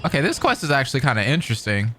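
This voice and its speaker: A man's voice: mid-range voice, very animated, rapid-fire, sharp tone, slight background noise. A man casually reacting to a game story, chatty and joking between scenes. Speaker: reaction video host. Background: game music.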